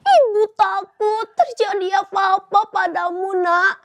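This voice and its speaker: A woman's voice: very high-pitched, takes it slowly, fairly bright tone, pitched above the performer's own voice, heavily acted. A girl voicing an aging mother who pleads tearfully for her son to stay and later to come home. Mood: tearful pleading.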